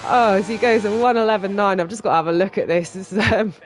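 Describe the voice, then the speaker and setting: Stuffy-nosed excitable race commentator. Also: mid-range voice, slight background noise, rough recording. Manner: excitable, quick reactions to lap times. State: stuffy from a cold. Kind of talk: live sim racing commentary.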